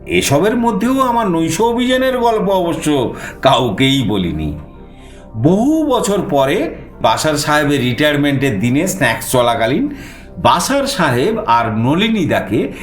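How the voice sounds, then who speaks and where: A man narrating a suspense story in the first person with expressive, dramatized delivery. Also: on the high side, measured pace, echoey room, faint music behind.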